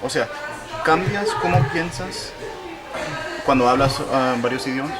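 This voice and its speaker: Man, mid pitch, interviewer, halting and self-correcting. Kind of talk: casual interview.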